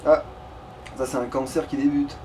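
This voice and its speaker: A man's voice: mid-range voice, level tone, moderate pace, crowd noise. A man giving casual, sweary gaming commentary, rambling and repeating himself as he chats with a friend. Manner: informal, sweary, rambling and repetitive. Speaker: let's play gamer. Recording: distant mic with faint noise.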